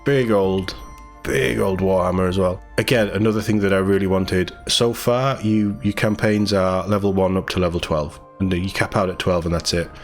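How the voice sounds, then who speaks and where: Male gaming news host, low voice, casual and enthusiastic read-through with commentary, music underneath. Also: steady tone, moderate pace. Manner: chatty, informal, enthusiastic. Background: background music.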